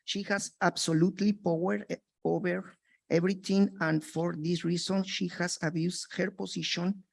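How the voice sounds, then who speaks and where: A man from Mexico, a native Spanish speaker with a Mexican Spanish accent, making an earnest, halting public comment in broken English. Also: high-pitched, flat delivery, gravelly. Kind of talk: public comment at a board meeting.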